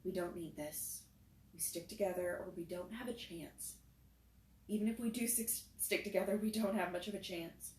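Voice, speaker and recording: A woman voicing a sharp-tongued teenage girl who scolds the boys and takes charge. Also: mid-range voice, a little slow, far from the mic, pitched above the performer's own voice, close to an everyday voice. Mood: firm calm. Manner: scolding, commanding. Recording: roomy echo, faint noise.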